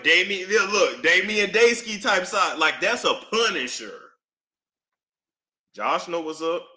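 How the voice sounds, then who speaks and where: A young man streaming casual commentary while he reads court documents aloud, swinging between loud outbursts and low muttering. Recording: echoey room.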